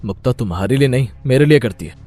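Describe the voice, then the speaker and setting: A man's voice: medium pitch, expressive, fast, a little mellow, faint music behind, close to an everyday voice. A man playing a stubborn, neglected young husband in a melodramatic story, with an emphatic, aggrieved manner. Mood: defiant. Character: neglected, stubborn young husband Aditya, smitten with another woman. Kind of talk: melodramatic Hindi moral story acting.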